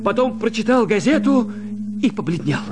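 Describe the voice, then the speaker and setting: A man giving an expressive, theatrical narration of a literary story as an actor. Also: high-pitched, normal speed, loud music behind the voice. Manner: expressive, dramatic.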